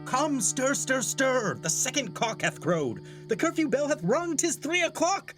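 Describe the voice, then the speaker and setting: A man's voice: very high-pitched, sing-song, measured pace, a bit bright, faint music behind, heavily acted. A man playing a fussing older father and master of the house in a classical Shakespeare play, commanding and theatrical. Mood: bustling, impatient. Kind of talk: classical Shakespearean stage acting.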